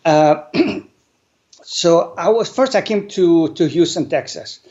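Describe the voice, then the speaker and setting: A man, an English speaker, telling his life story earnestly and deliberately as an interview guest. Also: a little high, expressive, a little mellow. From Lebanon. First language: not English. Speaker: guest, business owner. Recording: dull lo-fi sound.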